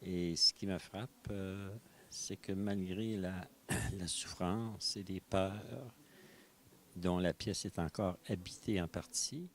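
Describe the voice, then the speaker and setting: Slow, low-voiced Québécois man. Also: fairly even intonation. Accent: Québécois French. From Quebec. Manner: soft-spoken, hesitant, searching for words. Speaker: visitor giving a testimony. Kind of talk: reflective personal testimony. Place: prayer room of a mosque.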